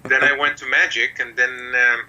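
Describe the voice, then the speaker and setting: A man's voice: medium pitch, flat delivery, normal speed, pushed and bright, dull audio. A middle-aged man from Israel, a mentalist telling his story in an interview, rambling with many fillers. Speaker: mentalist guest. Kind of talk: relaxed interview talk.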